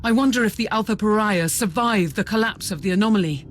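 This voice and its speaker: An actress playing a dutiful, frank starship captain, measured and formal in a video game cutscene. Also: female voice, mid-range voice, a little hiss or hum, close to an everyday voice. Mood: sober, pensive. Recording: music behind the voice.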